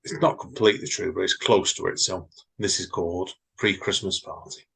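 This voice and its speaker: A man hosting an informal writers' readaround, chatting and reading his own flash fiction in a husky voice. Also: low voice, normal speed, fairly bright tone.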